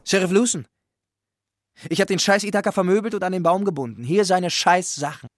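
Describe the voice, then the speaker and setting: A man's voice: high voice, brisk, dry recording, clean studio sound, natural voice. A man playing a cocky, foul-mouthed young tough guy voicing his own note in an audio drama. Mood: cocky, brash. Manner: brash, cocky. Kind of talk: audio drama letter voice-over.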